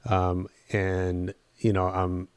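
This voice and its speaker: A man's voice: low voice, completely flat delivery, very slow, smooth, no echo. A man hosting an interview, friendly and encouraging, asking long meandering questions. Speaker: interview host. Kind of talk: casual interview talk.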